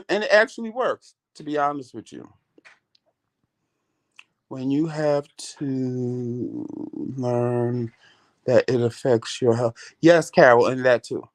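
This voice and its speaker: Middle-aged male vlog host, light voice, mid pitch, casual and animated storyteller, salty language. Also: slow pace, pauses often. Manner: animated, swears freely, greets chat viewers by name.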